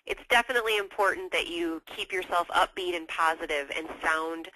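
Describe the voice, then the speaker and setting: An American woman gives conversational coaching in a very bright, forward voice. The sound is close and dry, and she talks wordily, circling back to rephrase her points. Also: fairly low pitch, fairly quick, dry recording, poor audio. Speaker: co-presenter, sales coach. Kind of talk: conversational advice.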